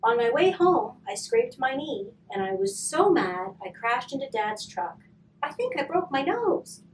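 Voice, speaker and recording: A woman's voice: high voice, big pitch swings, a little slow. A woman voicing a moody, sulky young boy with emphatic complaining in a children's storybook. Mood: aggrieved. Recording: roomy, distant mic, faint noise.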